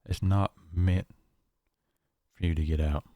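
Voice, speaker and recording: A haunted, paranoid survivor, acted by a man, leaves a horror audio log in a very low, dark voice. He speaks in halting, confessional phrases with long pauses, keeping to a monotone. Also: no room sound. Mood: fearful, pleading. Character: shaken, paranoid male survivor of a nightmare realm.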